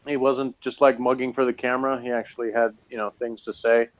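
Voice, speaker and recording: A man's voice: medium pitch, flat delivery, brisk, highs cut off, very noisy. A tired man chatting casually, rambling with lots of fillers and restarts. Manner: rambling, hesitant, many fillers. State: tired, yawning. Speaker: podcast co-host reviewing a TV episode. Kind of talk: casual chat.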